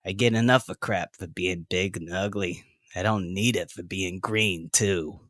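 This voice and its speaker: A man's voice: low-pitched, a little slow, fairly bright tone, no room sound, studio quality. A man playing a tough, blunt, slangy sixteen-year-old half-orc girl in an audio drama. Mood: guarded, musing.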